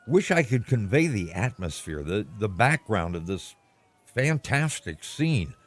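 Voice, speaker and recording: A man playing an earnest, flustered American radio news reporter in a radio play, halting as he describes what he sees. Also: on the low side, sing-song. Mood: urgent, fascinated. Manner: halting, descriptive, searching for words.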